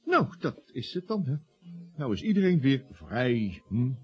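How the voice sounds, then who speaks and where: A smooth-talking male agent in a radio play, chatty and teasing as he meddles in others' affairs. His pitch swings freely and he hesitates on purpose. The recording is old and dull. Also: very animated, unhurried, exaggerated voice. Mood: sly, coaxing. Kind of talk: radio drama acting.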